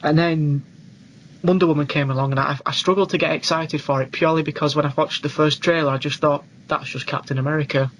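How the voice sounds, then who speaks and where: A man's voice: fairly high pitch, fairly even intonation, quick pace, fairly bright tone. A man co-hosting a podcast, chatting casually and with informal, opinionated enthusiasm about films. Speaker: podcast co-host. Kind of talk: casual film discussion. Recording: clearly noisy recording.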